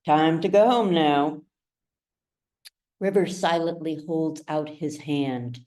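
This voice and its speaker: A woman reading literary fiction aloud as a narrator, with measured, dramatic storytelling. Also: sits low, warm tone. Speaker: author reading their own novel. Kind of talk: scripted audiobook narration.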